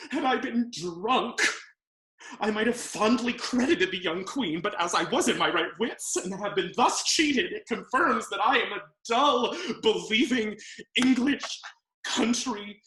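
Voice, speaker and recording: A woman acting a foolish, cheated country gentleman in a melodramatic, self-berating stage monologue, in an echoey room. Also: high-pitched, a little hiss or hum, exaggerated voice.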